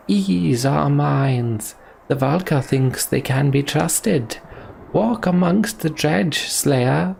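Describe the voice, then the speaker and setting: Guy doing a sly gravelly fantasy game rival, mocking and goading, slight background noise. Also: fairly high pitch, darkish tone, pitched above the performer's own voice. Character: sly, mocking male rival rousing a crowd. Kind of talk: voiced video game dialogue.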